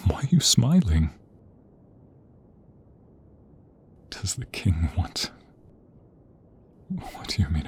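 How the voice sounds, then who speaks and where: A man playing a tender, devoted king in a romantic ASMR audio roleplay, speaking intimately with a soft, breathy voice. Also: low-pitched, exaggerated voice. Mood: gentle, puzzled. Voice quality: breathy, soft, often whispered. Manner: slow, intimate, emotive delivery. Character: tender, devoted young king in love with his queen.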